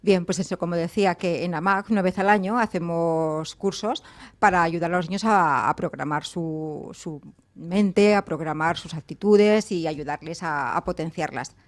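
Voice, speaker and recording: Soft-spoken woman, a bit low-pitched. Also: moderate pace. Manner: hesitant, repeats words, soft-spoken. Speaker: guest who runs children's courses. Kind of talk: radio talk-show discussion. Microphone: studio microphone, at first too far.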